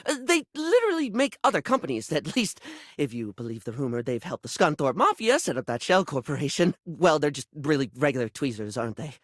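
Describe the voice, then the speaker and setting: A man's voice: on the high side, big pitch swings, a little fast, no room sound, studio quality. A male actor playing a flamboyant, fussy beauty-product character in a video game, theatrical and effusive, anxious to please. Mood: unimpressed. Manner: theatrical, effusive, fretful, peppered with endearments.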